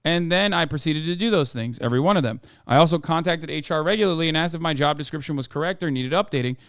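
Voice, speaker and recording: A man voicing a dry, sardonic Icelandic man with a put-on Nordic accent, reading a written thread aloud in a deliberate way. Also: a little high, fairly bright tone, smooth, dry recording, natural voice. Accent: put-on Nordic, meant as Icelandic.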